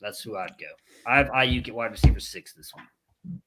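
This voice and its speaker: Bright-voiced man in his thirties. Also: mid-range voice, moderate pace, a little hiss or hum. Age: 30s. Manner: blunt, swears when riled. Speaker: podcast co-host. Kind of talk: casual fantasy football chat.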